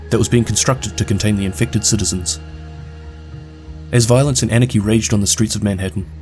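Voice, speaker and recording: Fast-talking New Zealand man narrating. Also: on the low side. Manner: brisk, steady recap delivery. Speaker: video game lore narrator. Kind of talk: scripted narration.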